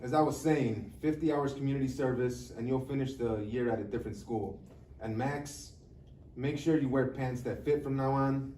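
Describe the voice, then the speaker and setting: A man plays an impatient court judge, curt and commanding. The tone is dark and mellow, the pitch ordinary. It sounds like a live script reading in a reverberant room. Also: normal speed, lightly acted. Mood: stern. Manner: authoritative, curt. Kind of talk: live screenplay table read. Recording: echoey room, distant mic, faint noise.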